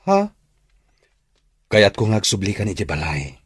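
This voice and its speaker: A man's voice: fairly low pitch, wildly swooping pitch, a little slow, slightly rough, no echo, clean studio sound, heavily acted. A man playing an earnest, coaxing father in an Ilocano radio drama. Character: earnest father, Danilo, coaxing his child.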